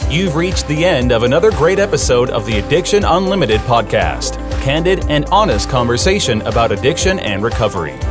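A man's voice: medium pitch, sing-song, brisk, few pauses, music in the background. A man reading a scripted, upbeat podcast outro. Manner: upbeat, promotional.

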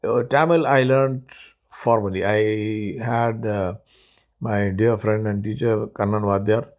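An older man in his seventies from India, his first language Urdu, speaks in a fairly low voice. He talks through his solo podcast in an unhurried, reflective way. He often pauses on "uh" and restarts his sentences. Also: steady tone, darkish tone. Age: 70s. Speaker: podcast host.

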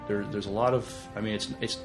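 A man with a low voice chats casually as a podcast co-host. He speaks hesitantly, feeling his way toward words, and music plays clearly behind him. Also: fairly even intonation, a little fast. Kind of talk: casual, unscripted discussion.

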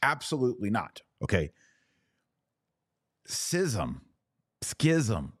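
Deep-voiced American man hosting a podcast. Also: sing-song, drawn-out words, breathy edge, no room sound, studio quality. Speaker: podcast host. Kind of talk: casual religious commentary, answering listener comments.

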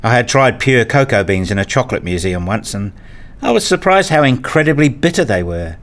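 A man reading a scripted first-person story as narrator, in a measured, introspective manner. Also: medium pitch, big pitch swings, a bit bright.

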